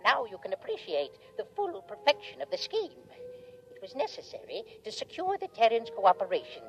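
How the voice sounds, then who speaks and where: A woman's voice: medium pitch, highs cut off, natural voice. A woman acting a scheming alien creature in a 1950s radio drama, theatrical and grandiose in manner. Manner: theatrical, grandiose, florid phrasing. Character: scheming alien creature, smug schemer turned desperate pleader. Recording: old radio recording with faint music.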